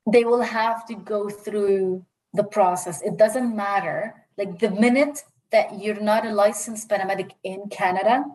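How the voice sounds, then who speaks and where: A woman recruiter answering questions on a video call, explaining slowly and carefully, with many fillers and restarts. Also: mid-range voice, a little mellow, rough recording. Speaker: healthcare recruiter presenter. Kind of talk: answering audience questions in a live webinar.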